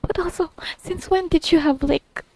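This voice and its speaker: A woman's voice: very high voice, steady tone, moderate pace, a little mellow, no echo. A woman giving casual let's play commentary, reacting to a game story in a giggly, gushing, think-aloud way. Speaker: gamer reacting to a story quest. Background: faint game music.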